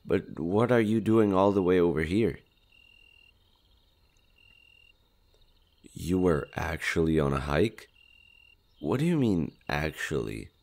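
A shy skinwalker monster, played by a man, with a low male voice and slow, hesitant speech. He stammers and gets flustered, a friendly, nervous creature in an intimate audio roleplay. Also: lively intonation, a little mellow. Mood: nervous, awkward.

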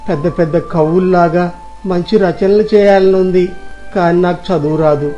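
A gloomy young village nephew, acted by a man, plaintive and full of self-doubt. The voice is very high for a male and darkly mellow. The story recording is dull, with faint music behind. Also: fairly even intonation, moderate pace, natural voice. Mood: dejected. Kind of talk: Telugu moral story audio drama.

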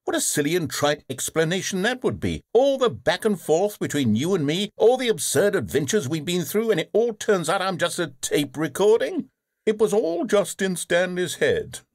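A man's voice: high-pitched, very animated, moderate pace, no room sound. Sardonic game narrator, a man. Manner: dry, sarcastic, condescending.

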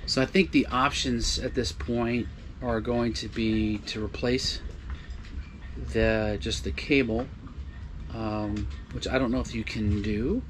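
A man's voice: medium pitch, fairly even intonation, slow pace, a little hiss or hum. A man in his fifties casually explaining a hands-on project, thinking aloud tentatively as he goes, recorded on a boat. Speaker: do-it-yourself boat vlogger. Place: open-sided boat cockpit at a marina.

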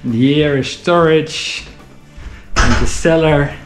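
Man doing a slow house tour, roomy sound, music underneath. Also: a little high. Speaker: vlogger. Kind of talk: casual vlog chat. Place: wooden holiday house, indoors.

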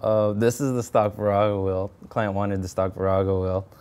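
Low, smooth-voiced young man. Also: little pitch movement, normal speed, darkish tone. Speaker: custom motorcycle builder. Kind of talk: casual technical walkthrough. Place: home garage with door open.

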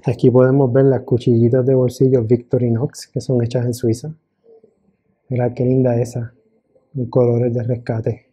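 A man's voice: medium pitch, flat delivery, moderate pace, dark tone, husky, dry recording. A man narrating a travel vlog in a casual, chatty way, speaking into a handheld camera as he walks. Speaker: travel vlogger. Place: mostly outdoors on mountain hiking trails. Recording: dull, noisy outdoor handheld recording.

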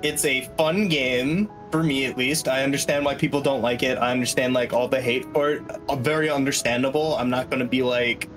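A man's voice: mid-range voice, steady tone, brisk, hardly any pauses, fairly bright tone. A man giving casual, rambling, wry commentary as the runner in a video game speedrun. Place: small room at home, indoors. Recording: game music behind the voice. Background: video game soundtrack music.

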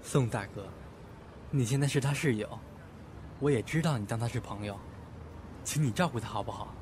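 A man's voice: mid-range voice, normal speed, darkish tone, a little hiss or hum, natural voice. A man acting an earnest, concerned young friend. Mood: earnest pleading. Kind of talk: Chinese audio drama acting.

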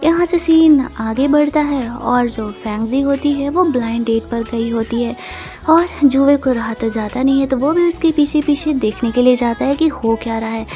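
High, mellow woman narrating. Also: normal speed. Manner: chatty, colloquial. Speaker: drama recap narrator. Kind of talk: casual story recap narration. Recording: voice-over with music behind. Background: music.

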